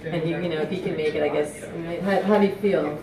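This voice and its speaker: A woman's voice: deep voice, fairly even intonation, fast. A woman hosting a live music show, interviewing casually in a chatty, encouraging manner. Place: busy live music restaurant. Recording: echoey live venue, distant mic, loud crowd.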